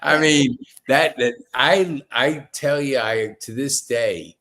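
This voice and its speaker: A man's voice: medium pitch, slow, pushed and bright, slight background noise. A middle-aged man hosting a casual podcast interview, effusive and rambling, with stop-and-start questions. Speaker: podcast host.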